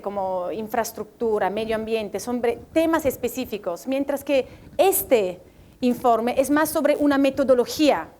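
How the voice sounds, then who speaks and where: A woman from Italy speaking Spanish with an Italian accent, presenting a report at a public event and often pausing to search for words. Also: fairly high pitch, darkish tone. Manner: hesitant, searching for words, light self-deprecating laughs. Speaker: World Bank economist presenting a report.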